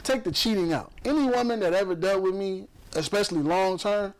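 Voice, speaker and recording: A man's voice: high voice, a little fast, rough recording. A young man from the south side of Chicago with a Chicago accent, hosting a podcast in loose, profane, boastful casual chat full of fillers. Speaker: podcast host.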